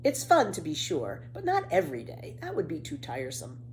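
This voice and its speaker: A woman's voice: lowish voice, wildly swooping pitch, fairly quick, slight background noise, rough recording. A woman voicing a bold, carefree, mischievous young storybook girl with a breezy, matter-of-fact, exclaiming manner. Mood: confident. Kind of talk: storybook character voice.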